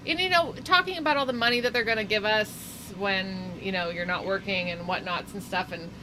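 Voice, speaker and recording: A woman in her forties co-hosting a casual talk show, chatty and quick to laugh. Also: mid-range voice, sharp tone, slight background noise, rough recording. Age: 40s. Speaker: co-host.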